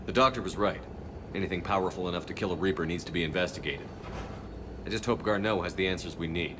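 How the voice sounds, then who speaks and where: A man's voice: deep voice, fast, noisy background, natural voice. An actor playing a determined, no-nonsense male starship commander in a video game, terse and authoritative. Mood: focused. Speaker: commander leading a field mission. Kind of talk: video game cutscene acting.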